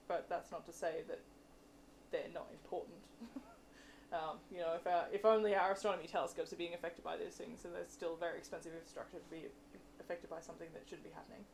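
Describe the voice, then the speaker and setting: A woman's voice: on the low side, darkish tone. A woman giving informal, candid explanations as a guest science speaker answering questions at a live talk. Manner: chatty, explanatory, candid about limits of expertise. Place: presentation room with an audience. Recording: noisy live room recording.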